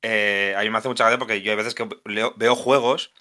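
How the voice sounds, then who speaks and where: Podcast guest, man Asturias, low-ish pitch with a forceful edge, thoughtful and rambling. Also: steady tone, a little fast. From Asturias, Spain. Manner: thoughtful, many restarts and self-corrections. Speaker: video game translator, guest. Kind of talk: casual podcast conversation.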